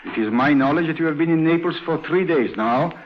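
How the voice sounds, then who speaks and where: An actor playing a courteous but impatient foreign schemer in a 1950s radio drama, with formal, florid phrasing. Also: male voice, on the high side, a little fast, natural voice. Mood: smooth reproach. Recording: muffled, noisy old radio recording.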